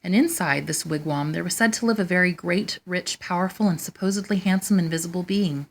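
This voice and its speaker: A woman hosting storytime, reading a children's picture book aloud in a measured, expressive way. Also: fairly low pitch. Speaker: storytime host and reader.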